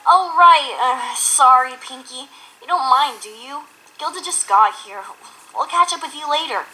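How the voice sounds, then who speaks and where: A woman's voice: sits high, unhurried, pushed and bright, exaggerated voice. A woman playing a cocky, sporty young female pony in a cartoon audio drama. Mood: apologetic. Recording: noisy playback of an audio drama.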